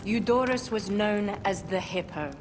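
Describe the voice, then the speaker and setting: A regal exiled queen, voiced by a woman, speaks with languid authority and a teasing edge. Steady background noise sits under her voice. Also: mid-range voice, unhurried, close to an everyday voice. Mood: commanding. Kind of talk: video game cutscene acting. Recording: game audio with clear background noise.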